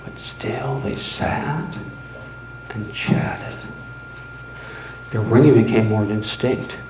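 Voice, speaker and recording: A man playing a boastful, unraveling mad murderer in a theatrical live reading of gothic horror, with dramatic pauses and swings between hushed and frantic. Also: on the low side, voice pushed down. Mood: hushed, anxious. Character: gleeful, boastful, unraveling mad murderer confessing his crime. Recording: echoey room with background noise.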